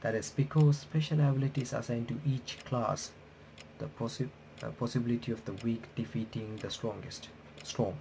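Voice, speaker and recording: Gravelly man reading out a visual novel. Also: medium pitch, steady tone, warm tone, slight background noise. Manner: halting reading with stumbles and self-corrections. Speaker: host of a game playthrough and reaction channel. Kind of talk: reading visual novel text aloud with casual commentary.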